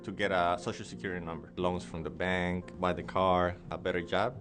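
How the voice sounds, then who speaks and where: Deep-voiced, deliberate young man. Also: level tone. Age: 20s. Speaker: interviewee. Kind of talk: documentary testimony. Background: music.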